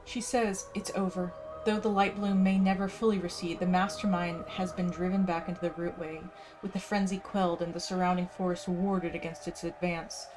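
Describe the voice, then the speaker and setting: A woman's voice: on the low side, flat delivery, normal speed, hardly any pauses, poor audio. A woman hosting a casual game playthrough, chatting and reading quest text aloud. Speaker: gaming video host. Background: game music.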